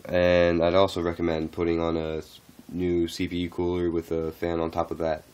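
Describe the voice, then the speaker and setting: A man who is a little sick, talking through a casual how-to walkthrough in a halting, unscripted way. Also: low voice, monotone, darkish tone, clean tone. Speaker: tech tutorial host.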